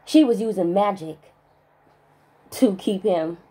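Husky-voiced woman ranting emphatically. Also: mid-range voice, mellow. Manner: emphatic, profane, stressing single words with long pauses. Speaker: vlogger. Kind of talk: spiritual rant monologue.

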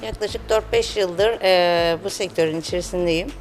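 A woman answering as a small business owner in an unscripted interview, with hesitant, halting phrasing. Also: fairly low pitch, poor audio. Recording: music behind the voice. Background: background music.

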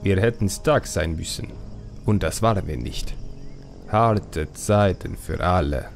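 A man's voice: low-pitched, unhurried, faint music behind. A man voicing a cheeky, upbeat young male troll in a fantasy audiobook, playful and teasing. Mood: reflective. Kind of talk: audiobook character acting.

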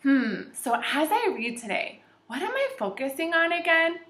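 Bright, high-voiced young woman teaching children. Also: moderate pace. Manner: encouraging, animated, addressing young children. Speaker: kindergarten teacher. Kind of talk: children's read-aloud lesson. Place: small indoor room with shelves and whiteboard. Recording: echoey room.

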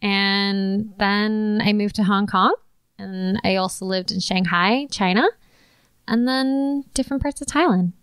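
Slow, smooth-voiced Californian woman in her twenties. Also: mid-range voice, steady tone, fairly bright tone, dry recording. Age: 20s. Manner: slow, unhurried, with light laughter and fillers. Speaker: guest. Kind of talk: casual interview conversation.

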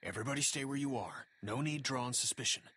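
A man's voice: medium pitch, fast talker, pushed and bright, a little hiss or hum, natural voice. An actor playing a weary, grieving middle-aged father in a game. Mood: firm, low-key.

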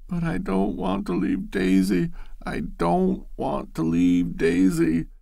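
A naive young woman's voice, pitched high and quavering on the edge of sobs, as a man puts her on. Her lines swoop widely up and down and come out slowly. The sound is close and dry. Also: big pitch swings, creaky, a little mellow, no echo, full character voice. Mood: distraught, pleading. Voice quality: quavering, sobbing.

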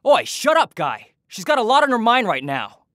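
A man's voice: very high-pitched, wildly swooping pitch, normal speed, fairly bright tone, dry recording, clean studio sound, heavily acted. An actor playing a hot-headed but protective young man in a visual novel game. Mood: irritated.

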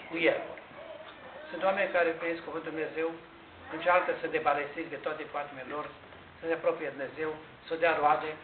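Older man preaching in an echoey church. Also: on the high side. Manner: measured, explanatory preaching with pauses and restarts. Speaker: priest. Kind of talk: sermon. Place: large Orthodox church interior, indoors. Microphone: far camera. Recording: tinny, echoey church recording with hiss.

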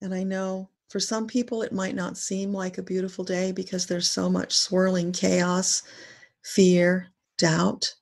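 Slow, creaky-voiced woman guiding meditation. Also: fairly low pitch, little pitch movement. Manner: deliberate short phrases with long pauses, solemn. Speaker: spiritual teacher leading a guided meditation. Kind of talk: guided meditation, spiritual message.

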